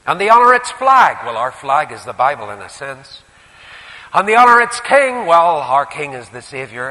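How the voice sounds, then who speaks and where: A man with a Northern Irish accent preaching a sermon, putting rhetorical questions and moving between emphatic rises and quieter asides. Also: a little high. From Northern Ireland. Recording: echoey hall with long reverb.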